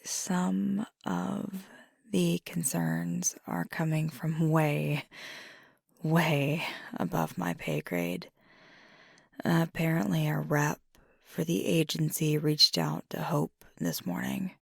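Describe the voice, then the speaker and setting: A woman acts out a fiery but tender werewolf girlfriend in an intimate ASMR roleplay. Her voice is low and heavily creaky, often slipping into a breathy near-whisper. She talks slowly and casually to her partner, pausing as she thinks, with an affectionate, teasing streak. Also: dry recording, studio quality, heavily acted. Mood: thoughtful, wry. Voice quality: breathy, husky, gravelly fry. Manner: casual, unhurried, hesitant pauses. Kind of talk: ASMR roleplay, soft intimate half-whispered talk to listener.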